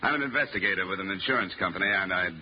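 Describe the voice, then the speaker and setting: An actor playing a wry, dogged insurance investigator in 1950s American radio style, speaking in a clipped, dry, hardboiled way. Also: male voice, sits low, racing, a little hiss or hum, lightly acted. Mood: politely probing. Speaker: lead actor and narrator of a radio detective show. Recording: muffled vintage radio recording, music.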